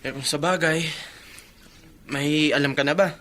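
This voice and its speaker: A man's voice: fairly high pitch, steady tone, measured pace, a bit bright. An actor in a Filipino radio drama playing a supportive, concerned young male friend. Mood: curious.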